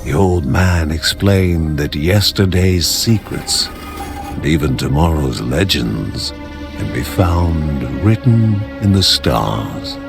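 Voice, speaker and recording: A man playing a solemn storyteller, narrating a fantasy game like a storybook legend in measured, scripted phrases. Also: rumbling low, lively intonation, creaky, full character voice. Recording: music behind the voice. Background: music.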